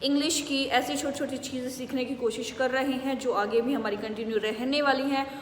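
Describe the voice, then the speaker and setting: A woman with an Indian accent teaching English phrases, saying the model answers slowly and clearly. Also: high-pitched, steady tone, a little hiss or hum. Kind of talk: spoken English lesson in Hindi. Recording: echoey room with long reverb.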